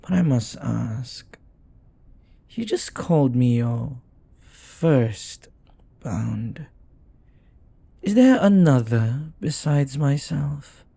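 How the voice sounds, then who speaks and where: A man playing a jealous, flirty, devoted demon in an intimate audio roleplay, speaking formally in a silky, possessive, breathy way. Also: medium pitch, expressive, extremely slow, pauses often, mellow, no room sound, exaggerated voice. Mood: wary, jealous.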